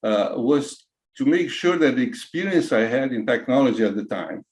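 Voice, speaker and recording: A Brazilian man in his sixties with a Brazilian Portuguese accent, a retired IT executive answering interview questions in a halting way, full of fillers and restarts. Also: medium pitch, expressive, darkish tone. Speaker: guest, retired CIO.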